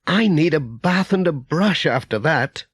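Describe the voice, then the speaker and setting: Man voicing a high-pitched, motherly village woman. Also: expressive, a little slow, husky, dry recording, studio quality. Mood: brisk. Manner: motherly, chatty, reassuring. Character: friendly middle-aged village woman, brisk and cheerful.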